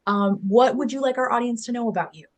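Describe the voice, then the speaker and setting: A woman's voice: a little high, fast. A woman hosting a webinar interview on a video call, chatty and upbeat, with fillers. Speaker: webinar host.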